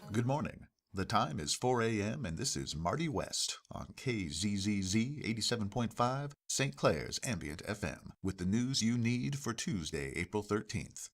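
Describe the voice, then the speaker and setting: A man playing an earnest local radio news anchor in a parody newscast, formal, measured and politely persistent. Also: on the low side, very animated, faint music behind. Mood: formal, composed.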